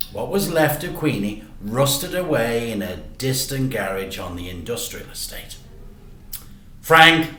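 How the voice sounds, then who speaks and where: A man reading a children's story aloud in an animated, deliberate way with dramatic emphasis. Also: mid-range voice. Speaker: teacher reading stories to children. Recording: echoey room.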